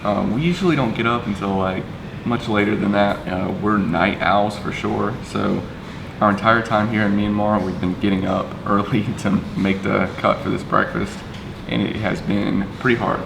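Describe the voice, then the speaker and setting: A man vlogging casually over breakfast in a hotel dining area, rambling with fillers, his voice a little raspy from the early hour. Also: on the low side, moderate pace. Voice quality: slightly raspy in the early morning. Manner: relaxed and rambling, with many fillers. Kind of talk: casual vlog chat. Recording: echoey room with background noise.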